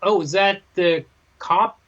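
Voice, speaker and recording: Higher-pitched man in slightly dull audio. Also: fairly even intonation, takes it slowly, a bit bright. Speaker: podcast co-host. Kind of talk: casual book discussion.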